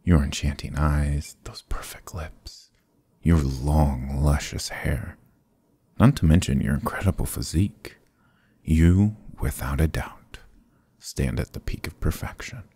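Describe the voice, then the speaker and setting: Very deep, sly charming adventurer played by a man. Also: measured pace, warm tone, husky, no room sound, full character voice. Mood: flattering, wheedling. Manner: glib, courtly, evasive, hesitating theatrically. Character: sly, charming adventurer thief, smooth-talking and flattering. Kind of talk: fantasy ASMR roleplay acting, close and soft.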